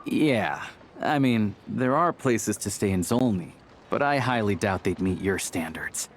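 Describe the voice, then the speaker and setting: A man's voice: lowish voice, lively intonation, measured pace, slight background noise. A man playing an easygoing hunter and town guide in a video game, speaking in a casual, helpful, matter-of-fact way. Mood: frank, wry. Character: easygoing young male small-time hunter acting as town guide. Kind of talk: video game character acting.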